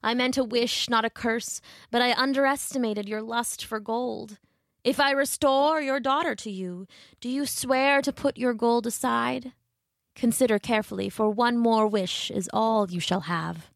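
A woman's voice: a little high, measured pace, creaky, no echo. Lady doing a solemn god in a fairy tale, grave and formal, very dry recording. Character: solemn male god, regretful and stern.

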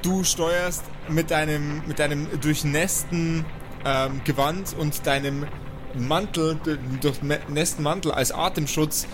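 Higher-voiced male game master over music. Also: fairly even intonation, slow. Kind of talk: improvised tabletop game narration. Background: background music.

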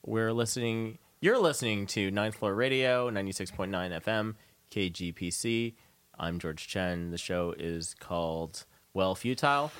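A man's voice: on the low side, animated, moderate pace, fairly bright tone, clean tone. A man hosting a casual radio interview, chiming in with short questions and echoed phrases. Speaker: radio show host and interviewer.

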